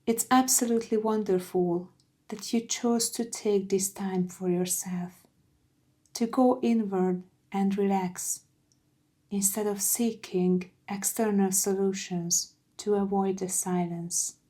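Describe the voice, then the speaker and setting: A woman guiding a meditation in a soft, soothing, gentle voice with breathy phrase endings. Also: mid-range voice, steady tone. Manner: soothing, gentle, unhurried with long pauses. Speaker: meditation guide. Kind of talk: guided meditation narration.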